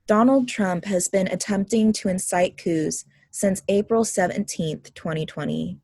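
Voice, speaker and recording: A woman reading an essay aloud at a group reading, in a measured, deliberate way. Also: mid-range voice, mellow, dry recording.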